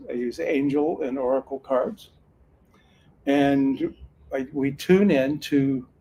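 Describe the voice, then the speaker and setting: A man's voice: mid-range voice, dark tone, slight background noise. An older man from Northern California, a retired sheriff's officer who now does card readings, telling his story in an earnest, folksy way and often restarting sentences. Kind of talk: casual storytelling talk.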